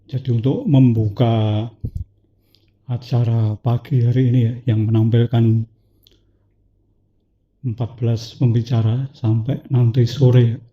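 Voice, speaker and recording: Measured man reading a poetic talk. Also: mid-range voice, level tone, muffled recording. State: has a cough. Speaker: speaker at a talk event.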